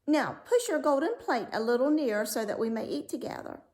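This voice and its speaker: A woman's voice: high voice, lively intonation, fairly quick, a little mellow, pitched above the performer's own voice. A woman voicing a small, pushy, demanding male frog in a storybook, bossy and insistent as it chants its demands. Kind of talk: storybook read-aloud character voice.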